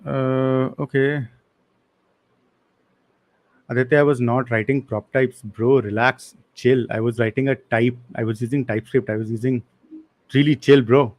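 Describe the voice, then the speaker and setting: A man's voice: medium pitch, fairly even intonation, measured pace, long pauses, a little mellow, low-quality recording. A young man teaching a live online coding class, casual and apologetic, answering the chat with slang.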